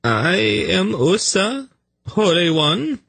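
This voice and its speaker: An oily, fawning fantasy underling acted by a man, pitched well up from the narrator's voice. He swoops through his pleas and protests with slippery deference. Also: sing-song, slow, vocal fry, a bit bright, slightly rough, dry recording, heavily acted. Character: oily, obsequious man pleading before his master.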